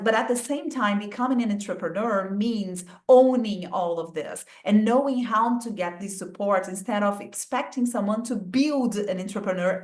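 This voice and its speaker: A woman hosting an interview, sharing thoughts reflectively and asking questions with hesitant restarts. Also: mid-range voice. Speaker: interview host. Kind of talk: conversational interview. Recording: echoey room.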